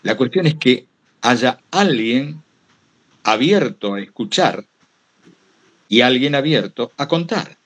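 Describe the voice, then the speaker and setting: A man's voice: mid-range voice, lively intonation, takes it slowly, pauses often, fairly bright tone. A man with a Rioplatense Argentine accent, telling anecdotes casually in a digressive way and acting out what people said. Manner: digressive, acts out quoted remarks. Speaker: guest storyteller giving a talk.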